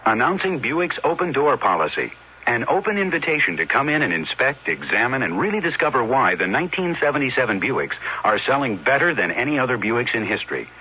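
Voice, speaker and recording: A man announcing a scripted 1970s car commercial in an emphatic sales style. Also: medium pitch. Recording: muffled, faintly noisy radio broadcast.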